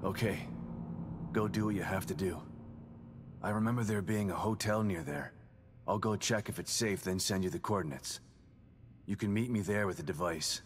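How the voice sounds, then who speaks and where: An actor playing a grim, guilt-ridden middle-aged survivor in a video game, with a terse, brooding delivery that often drops to a murmur. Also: male voice, sits low, moderate pace, slight background noise, close to an everyday voice. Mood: businesslike. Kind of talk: cinematic video game acting. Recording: music under the dialogue. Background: music.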